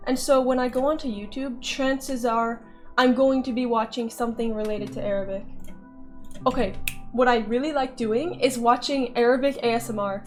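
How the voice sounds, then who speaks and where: A slightly high-voiced woman talks to her viewers in a loose, chatty ramble while she plays. She is candid and pokes fun at herself. Faint game music sits under her voice. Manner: chatty, candid, self-deprecating. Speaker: gaming vlogger. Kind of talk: casual rambling commentary while gaming.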